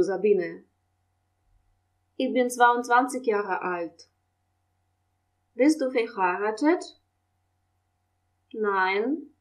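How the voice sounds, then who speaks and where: Slow, clear woman teaching German. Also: on the high side. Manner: reads German questions and answers slowly and clearly, explains in Russian. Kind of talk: language lesson, slow model dialogue.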